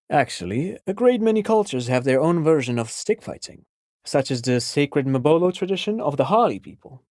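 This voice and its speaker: A man's voice: mid-range voice, darkish tone, dry recording, studio quality, lightly acted. An actor playing a pedantic, absent-minded amateur scholar in a video game, an enthusiastic father who explains things eagerly and wanders into digressions. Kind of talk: video game character dialogue.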